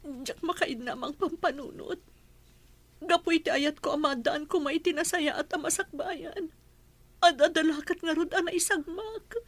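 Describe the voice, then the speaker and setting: A woman's voice: high-pitched, normal speed, natural voice. A woman acting a grieving young mother in an Ilocano radio drama, with a tearful, emotional delivery and an Ilocano accent. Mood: anguished. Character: grieving young mother pleading for her sick child.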